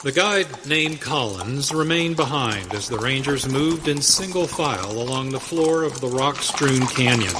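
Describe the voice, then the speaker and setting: A man narrating a scripted western radio drama in a dramatic, deliberate way. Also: medium pitch. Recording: very noisy, effects behind voice.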